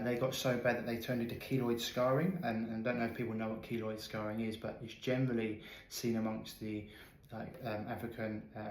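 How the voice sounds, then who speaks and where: A man in his thirties, speaking as a guest health coach, telling his own story in an earnest, candid way with many restarts. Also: lowish voice. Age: 30s. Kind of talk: interview storytelling. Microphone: video call. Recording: echoey video call audio.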